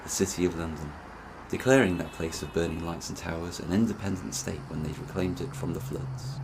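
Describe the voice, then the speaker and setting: A man narrates in a lyrical, scripted storytelling style. His voice is very deep with a gravelly creak, and his delivery is measured. Clear background noise sits under the voice.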